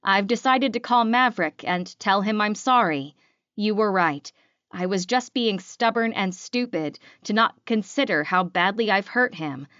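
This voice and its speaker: A woman's voice: mid-range voice, unhurried, fairly bright tone, clean tone, no room sound, close to an everyday voice. Narrator doing a sorry, earnest young woman in an audiobook, dry muffled sound. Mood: earnest, apologetic. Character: young woman, apologetic, earnest, talking to her friend.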